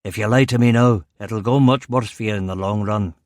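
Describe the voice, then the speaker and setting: Here is a man acting out a big older Glaswegian police commander from a crime audiobook. He is gravelly, blunt and authoritative, pitched low and kept fairly quiet, recorded very dry and close. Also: fairly quick, no echo, natural voice. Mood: stern, demanding. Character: burly, angry older police chief superintendent, commanding but protective. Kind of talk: audiobook character dialogue.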